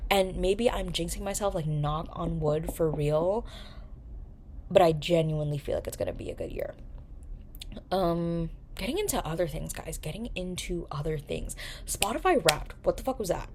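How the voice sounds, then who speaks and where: Lively chatty young woman vlogger. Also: lowish voice, moderate pace, a little hiss or hum. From New York. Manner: chatty, animated, swears and jokes. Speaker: vlogger, podcast host. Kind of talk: casual chat to camera.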